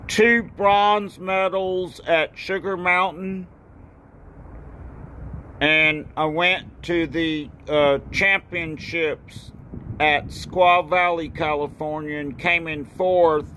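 An older man from North Carolina talks outdoors on a porch into a phone at arm's length, rambling and halting with many fillers and repeated thank-yous. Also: high-pitched, a bit bright, a little hiss or hum. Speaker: ski racer and video maker. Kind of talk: casual home video. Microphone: phone camera at arm's length.

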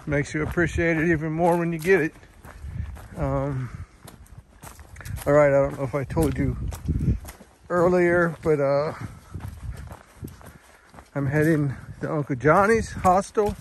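An easygoing man in his fifties rambles slowly into a handheld phone as he hikes a forest trail. His voice sits a little high, and his manner stays folksy and full of small fillers. Faint nature sounds drift in behind him. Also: a little hiss or hum, rough recording. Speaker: thru-hiker vlogger. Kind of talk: casual trail vlog.

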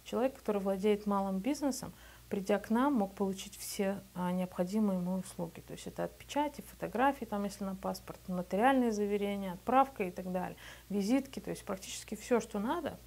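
A woman's voice: medium pitch, fairly quick, vocal fry, darkish tone. A woman in her thirties from Belarus, a business owner telling her story in a documentary interview in a small office, speaking matter-of-factly into a lapel mic. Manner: matter-of-fact, conversational.

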